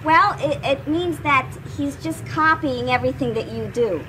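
A woman's voice: sits high, moderate pace, natural voice. A woman playing a patient, friendly grown-up who explains things simply on a children's show. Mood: patient, explaining. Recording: clear background noise.

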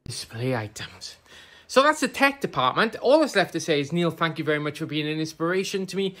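A woman's voice: low voice, lively intonation, fairly quick, a bit bright. A middle-aged woman giving a jokey, self-deprecating tour of her computer room, filmed on a phone. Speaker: viewer video contributor. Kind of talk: casual home tour video. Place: room full of old computers, indoors. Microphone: phone held at arm's length.

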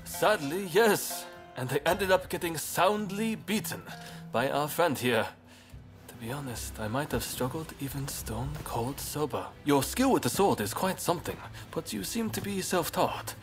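An actor playing an affable, self-deprecating young samurai in a video game, genial and expressive. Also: male voice, fairly high pitch, unhurried. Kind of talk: video game cutscene acting. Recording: music playing behind the voice.

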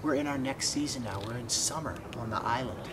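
A man's voice: mid-range voice, brisk. A young man reading a children's storybook aloud expressively, with asides and questions to young listeners. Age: young adult. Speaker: storybook reader. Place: outdoors by a wooden fence. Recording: clearly noisy outdoor ambience.

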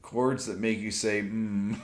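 A man in his fifties hosting a casual late-night stream from his home music studio, reading viewer questions off his phone in a relaxed, rambling way with lots of fillers. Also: on the low side. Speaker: YouTube music channel host. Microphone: off-camera mic, seated at desk with phone. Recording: roomy studio echo.

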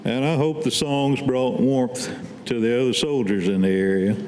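A man's voice: medium pitch, fairly even intonation, moderate pace, a little mellow. An older man reading his writing aloud at a podium in a staged poetry reading. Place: indoor meeting room at a podium. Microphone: podium gooseneck microphone. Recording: echoey hall, faint room noise.

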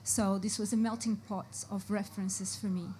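A woman's voice: mid-range voice, little pitch movement, moderate pace, dark tone, slight background noise, poor audio. A woman from Portugal with a Portuguese accent, speaking on a live design panel, hesitant with many fillers as she searches for words. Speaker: panelist, brand art director. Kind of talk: panel discussion. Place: live panel event before an audience.

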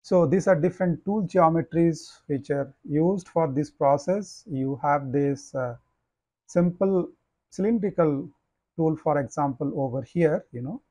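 A man, a professor giving a slide lecture, explaining in a measured way with frequent "uh" and "you know". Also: fairly high pitch, dark tone, no echo.

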